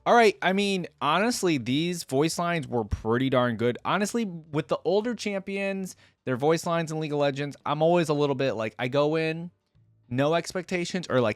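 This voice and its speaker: A man's voice: on the high side, dry recording. A man giving informal, rambling reaction commentary as a video host.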